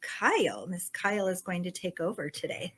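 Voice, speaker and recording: A woman's voice: on the low side, big pitch swings, fairly bright tone, a little hiss or hum. A woman co-hosting a casual quilt shop video who reacts cheerfully and agrees with her partner. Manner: upbeat, agreeable, quick to react. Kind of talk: casual show-and-tell chat.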